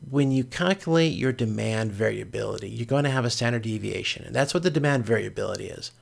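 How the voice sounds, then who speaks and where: Male instructor, mid-pitched with noticeable vocal fry, informal explanatory delivery, halting and self-rephrasing. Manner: hesitant, explanatory, often repeats and rephrases. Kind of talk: informal explanatory lecture, screen walkthrough.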